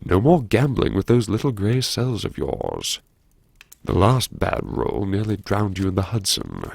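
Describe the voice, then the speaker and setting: A man performing a first-person audiobook monologue as a brooding, wry character who anxiously lectures himself in a theatrical, musing way with dramatic pauses. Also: lowish voice, very animated, gravelly, darkish tone, husky, no echo, heavily acted. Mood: scolding, sardonic. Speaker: audiobook narrator.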